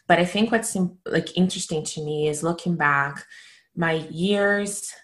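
A woman's voice: on the low side, measured pace. A woman in her thirties from Brazil, a native Portuguese speaker, sharing her story casually and reflectively as an interview guest. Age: 30s.

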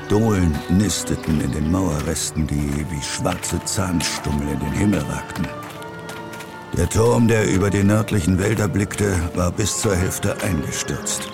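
A man's voice: sits low, creaky. A man narrating a suspenseful audio drama as a storyteller.

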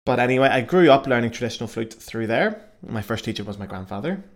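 A man's voice: medium pitch, animated, fast. Chatty Belfast man hosting a podcast. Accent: Belfast Northern Irish. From Northern Ireland. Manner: chatty and self-mocking, with frequent fillers. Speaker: podcast host. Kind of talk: casual solo podcast monologue.

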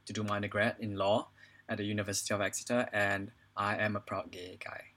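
A man's voice: on the low side, level tone, fast, pushed and bright. A man in his twenties with a Singaporean accent, talking casually as a podcast guest in a hesitant, filler-heavy way. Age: 20s. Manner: hesitant phrasing with frequent "you know" and "like". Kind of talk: casual personal conversation.

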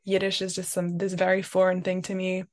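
A woman's voice: lowish voice, monotone, fairly quick. Thoughtful young woman. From France, raised in London. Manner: thoughtful, many "like" and "um" fillers. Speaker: guest. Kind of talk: reflective interview talk.

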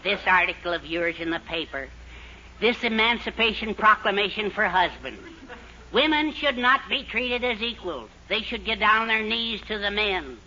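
An actor playing a middle-aged henpecked husband, florid and grandiose, in a 1940s radio sitcom. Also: male voice, sits high, animated, moderate pace, pushed and bright, exaggerated voice. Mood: gushing, elated. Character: henpecked husband, grandiose, gushing and timidly brave. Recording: very noisy, muffled 1940s radio recording.

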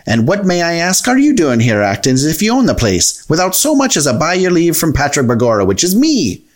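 A man's voice: fairly high pitch, huge pitch swings, fairly quick, pitched above the performer's own voice. A man voicing a proud, grumpy little wee man from a children's storybook, indignant and quaintly old-fashioned in his phrasing.

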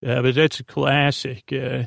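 A man with a creaky, gravelly voice rambles through a bedtime podcast monologue, halting, repeating himself and trailing off as host. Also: mid-range voice, little pitch movement, no room sound, studio quality. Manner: meandering, halting, repetitive, trailing off.

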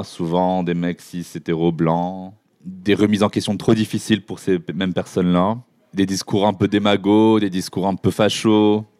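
A man from Morocco speaking as a podcast guest, giving a personal account with hesitant pauses and repeated emphasis. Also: low voice, a little mellow, no echo. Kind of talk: personal account in a live interview. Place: live public recording before an audience.

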